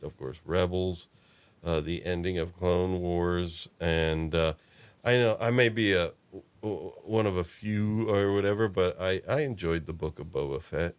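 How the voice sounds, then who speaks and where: A man with a notably low voice talks as a host in relaxed conversation. His delivery is halting, often doubling back in the middle of a thought. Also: clear, resonant tone. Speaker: podcast host. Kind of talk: casual chat about fan fiction and films.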